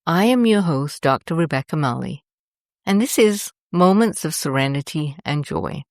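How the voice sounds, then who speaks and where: A woman host narrating a scripted guided meditation in a soothing, deliberate manner, leaving long pauses between short phrases. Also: sits low, lively intonation, no echo, clean studio sound.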